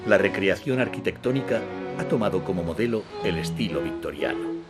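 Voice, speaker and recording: A man narrating a travel documentary in a measured, scripted style. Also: low-pitched, expressive. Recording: music bed under voice-over.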